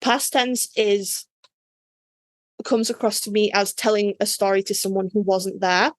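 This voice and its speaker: A woman co-host talks in a chatty, playful way, often restarting her sentences mid-thought. Her voice sits at a middle pitch. It sounds close and dry, with little room sound. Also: fairly even intonation, a little slow, no echo. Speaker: podcast co-host. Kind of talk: casual chat.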